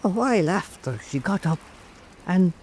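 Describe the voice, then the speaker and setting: A man playing an old Irish island storyteller, telling an eerie fireside tale in a deliberate, theatrical way for radio drama. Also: high-pitched, lively intonation, a little mellow, a little hiss or hum, poor audio. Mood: eerie, measured.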